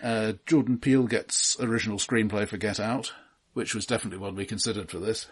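A man with a husky voice co-hosting a film discussion podcast, listing results and giving dry, understated opinions. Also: lowish voice, fairly even intonation, fast. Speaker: podcast co-host. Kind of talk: film discussion, reading out awards and box office lists.

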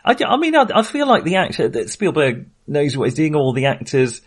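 A man's voice: on the high side, wildly swooping pitch, a little fast, breathy edge. A man co-hosting a casual film discussion in a conversational, hedging manner. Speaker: film podcast co-host.

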